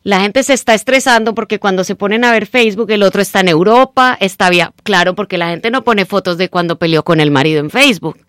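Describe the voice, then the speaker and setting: A middle-aged Colombian woman with a slightly mixed Nicaraguan accent, speaking as a radio guest and time-management trainer in an animated, persuasive coaching tone. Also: mid-range voice, fast talker, few pauses, dry recording, clean studio sound. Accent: Colombian Spanish mixed with Nicaraguan. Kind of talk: radio interview, promoting a seminar.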